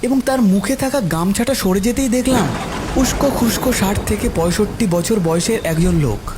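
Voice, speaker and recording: A man's voice: high-pitched, normal speed, background noise. A man narrating a scripted horror story in the first person.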